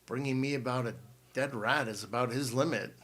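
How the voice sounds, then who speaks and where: A man's voice: mid-range voice, a little fast, a bit bright, natural voice. A man reading an audiobook and voicing a bookish teenage boy who leads the group and speaks in formal, precise words.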